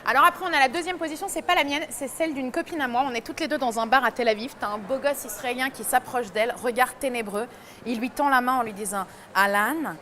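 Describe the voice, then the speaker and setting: A young woman columnist on a talk show, telling funny stories in a lively way and laughing often. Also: high voice, fast, slight background noise. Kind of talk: comic chronicle on a talk show.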